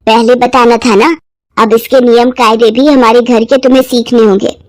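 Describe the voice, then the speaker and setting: A woman's voice: a little high, flat delivery, moderate pace, darkish tone, no room sound, lightly acted. A woman voicing a strict, orthodox elderly mother-in-law who scolds and orders people about in a moral-story drama. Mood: disapproving. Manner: scolding, commanding.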